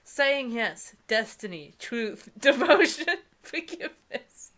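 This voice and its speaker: Bright-voiced woman reading with sarcastic asides. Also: on the high side, sing-song, takes it slowly. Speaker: co-host of a comedic book review show. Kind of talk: mocking dramatic reading with casual commentary.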